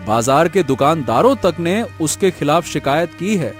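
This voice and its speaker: A man voicing an earnest, sentimental village family man in an animated moral story. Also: fairly high pitch, normal speed, soft background music, natural voice. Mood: concerned.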